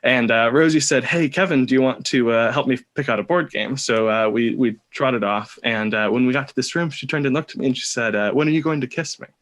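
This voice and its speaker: A man with a Californian American accent, telling a personal story casually as a podcast guest, rambling and pausing often. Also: medium pitch, fairly bright tone. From California, United States. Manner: hesitant, rambling, with many pauses.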